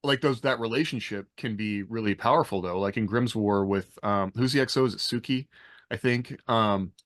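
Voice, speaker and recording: A man's voice: fairly low pitch, moderate pace, no echo, clean studio sound. A man talking casually on a podcast panel, thoughtful and tentative as he weighs things up.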